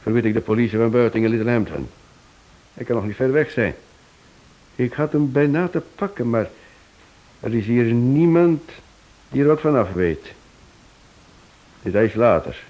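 An actor playing a brisk, affable detective who bluffs easily, in a Dutch radio play. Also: male voice, mid-range voice, expressive, dark tone, highs cut off, lightly acted.

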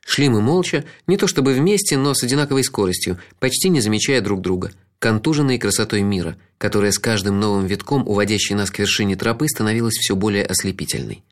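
A man's voice: fairly low pitch, fast, hardly any pauses. A man reading a first-person story aloud, reflective and ironic. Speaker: audiobook narrator. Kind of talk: first-person literary narration.

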